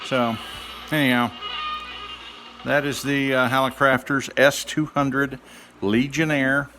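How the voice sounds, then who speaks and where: A man giving a casual, unscripted demonstration as a hobbyist restorer, speaking haltingly with many pauses. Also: medium pitch, flat delivery, music in the background. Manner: halting, hesitant, with trailing pauses.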